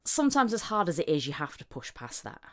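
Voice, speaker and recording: Low-key woman podcaster, halting and chatty. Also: sing-song, a bit bright. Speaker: podcast host and voice actor. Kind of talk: casual podcast chat.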